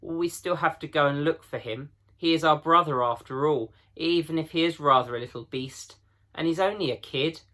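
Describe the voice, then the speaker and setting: A man's voice: on the high side, moderate pace, sharp tone, pitched above the performer's own voice. A man voicing a troubled but responsible elder-brother schoolboy in a storybook reading, speaking with emphasis. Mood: troubled, insistent.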